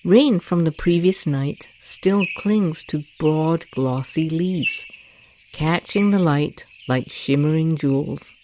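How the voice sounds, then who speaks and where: Slow, low-voiced woman guiding meditation. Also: slight background noise. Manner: gentle, deliberate, calming delivery with long pauses. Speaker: guided meditation host. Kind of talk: soothing guided meditation narration. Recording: nature ambience with birds and crickets. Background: rainforest sounds, birdsong and crickets.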